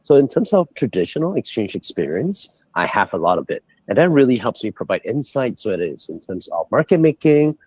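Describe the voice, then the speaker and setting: A man from Singapore, a guest on a livestream called in remotely, explaining things in an animated way and jumping in pitch on key numbers. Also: fairly quick, hardly any pauses, husky, dry recording. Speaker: guest, head of global strategy. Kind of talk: livestream interview talk. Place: indoors, calling in from abroad. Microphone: remote call.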